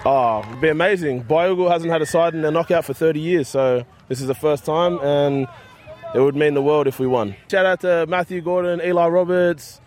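A man's voice: fairly high pitch, moderate pace, darkish tone. A man from Sydney, a footy player, answering an interview in an upbeat, straightforward way. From Sydney, Australia. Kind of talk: vox pop interview.

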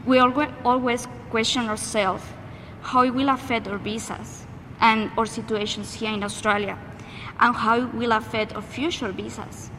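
Colombian woman, higher voice, echoing noisy recording. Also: steady tone, normal speed, fairly bright tone. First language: Spanish. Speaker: interviewee, migrant worker. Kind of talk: interview testimony. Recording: noisy, long echoing reverb.

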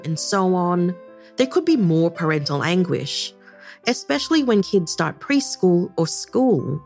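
A woman hosting and narrating a documentary-style podcast, telling the story in a measured, expressive way. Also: on the low side. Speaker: podcast host.

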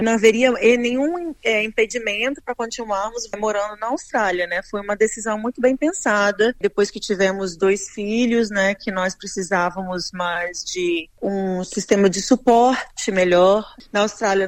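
A woman's voice: mid-range voice, no room sound. A middle-aged woman with a Brazilian accent, giving explanatory answers as an interviewee.

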